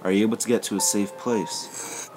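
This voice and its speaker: A female police dispatcher keeps her questions calm and coaxing, voiced by a man reading a story. The voice stays low and deep, barely shifted from the reader's own. Music runs clearly underneath. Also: moderate pace, lightly acted, poor audio. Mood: calm urgent. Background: background music.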